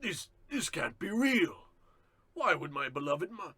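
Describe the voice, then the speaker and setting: A man playing a pompous, theatrical disgraced company president in a radio comedy play, with a husky voice. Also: high-pitched, sing-song, unhurried, bright, forceful tone, exaggerated voice. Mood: indignant. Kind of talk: old-time radio comedy melodrama.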